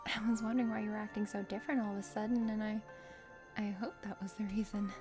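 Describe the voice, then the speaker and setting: A woman voicing a kind, earnest young aspiring knight in a breathy ASMR game reading. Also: medium pitch, level tone, quick pace, lightly acted. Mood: tender. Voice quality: whispery, breathy. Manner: gentle, sincere. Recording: soft music behind.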